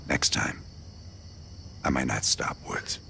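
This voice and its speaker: A man playing a cool, commanding covert team leader in a game cutscene, measured and quietly menacing. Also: heavy background noise, natural voice. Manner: measured, authoritative briefing. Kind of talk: dramatic game cutscene acting. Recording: loud music behind the voice.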